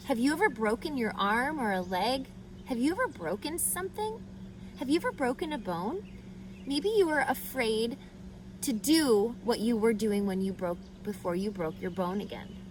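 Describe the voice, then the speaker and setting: Slow, expressive woman reading to children. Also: fairly high pitch, a little hiss or hum. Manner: expressive, deliberate, addresses child listeners directly. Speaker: read-aloud storyteller for children. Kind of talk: children's picture book read-aloud with questions to listeners.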